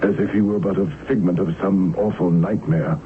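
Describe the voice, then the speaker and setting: A haunted, desperate sea captain acted by a man speaks in a low voice with halting, theatrical anguish. It is radio drama, on a muffled vintage recording with some background noise. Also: fairly even intonation, close to an everyday voice. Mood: agitated, insistent. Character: haunted, desperate male sea captain fearing a supernatural pursuer. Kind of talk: old-time radio drama acting.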